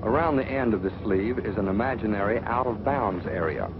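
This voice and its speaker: A man's voice: fairly low pitch, brisk, lightly acted. A man narrating a 1940s training film as a stern military flight instructor, authoritative, scolding and didactic. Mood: stern instructing. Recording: muffled noisy 1940s film soundtrack.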